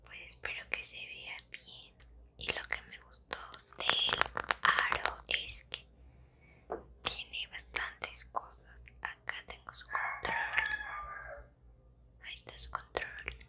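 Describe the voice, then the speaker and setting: Young woman doing asmr, breathy crackly whisper, chatting softly right up close. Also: rumbling low, monotone, slow, long pauses. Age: 20s. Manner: soft, intimate, close to the listener. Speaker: ASMR video creator. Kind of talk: whispered ASMR, casual chat to viewers. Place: small room indoors.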